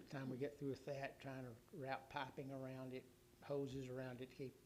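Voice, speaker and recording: A hoarse man reports to a city council in a rambling, halting way, full of restarts and fillers. His voice sits in an ordinary middle register. A faint hum runs underneath. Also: medium pitch, little pitch movement, darkish tone. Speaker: city official giving a utility report.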